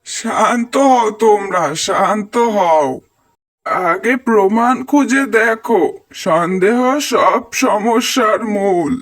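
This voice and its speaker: A man's voice: high-pitched, takes it slowly, a bit bright. A man voicing village men in a cartoon, speaking in a declamatory way. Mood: calm, admonishing. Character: village men: angry villagers, calming council member, friendly snack vendor. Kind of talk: cartoon voice acting.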